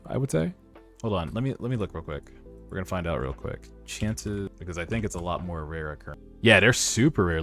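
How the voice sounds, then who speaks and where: A man giving casual, slangy reaction commentary as a streamer reading a webtoon aloud, with some swearing. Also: sits low. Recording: music behind the voice. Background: background music.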